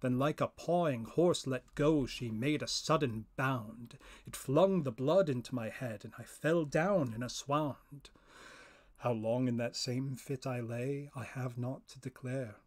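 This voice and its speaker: A man giving a dramatic reading of a narrative poem, solemn, theatrical and deliberate. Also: medium pitch, darkish tone. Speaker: poetry reader, professor.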